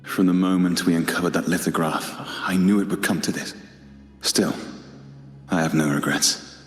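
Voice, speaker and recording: Game casting: actor as doomed man of faith, stoic, very low voice, echoing space. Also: steady tone, moderate pace, natural voice. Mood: resigned, disillusioned. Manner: solemn, stoic. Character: grave, resigned condemned male believer. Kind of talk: video game voice acting. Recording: echoey hall reverb, faint music.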